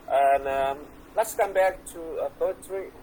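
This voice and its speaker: A man's voice: a little high, a little mellow, slight background noise, rough recording. A middle-aged man with a Vietnamese accent, a guest poet answering on a video call, hesitant and full of fillers and restarts. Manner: slow, hesitant, many fillers and repeats. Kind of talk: relaxed conversational interview.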